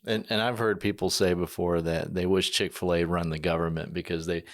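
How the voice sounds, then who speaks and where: Guy podcast host, really low voice, chatty interview, kinda halting and rambly. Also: steady tone. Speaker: podcast host, company manager. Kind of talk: conversational interview.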